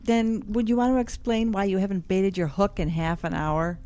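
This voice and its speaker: A woman's voice: medium pitch, fairly even intonation, normal speed, mellow, lightly acted. A woman playing a weary small-town woman in an audio drama, curt, dry and easily exasperated. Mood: irritated, curt. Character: terse, weary, no-nonsense small-town woman, newly divorced.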